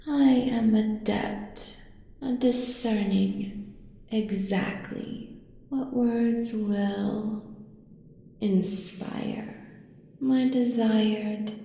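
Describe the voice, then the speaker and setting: A woman voicing an ancient, cruelly manipulative dark entity in an audio roleplay, speaking deliberately and menacingly, at times in whispers. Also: medium pitch, fairly even intonation, creaky. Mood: gloating menace. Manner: slow, deliberate, savouring menace, dropping into whispers. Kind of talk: scripted audio roleplay, ASMR drama. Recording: long cavernous reverb, faint music behind.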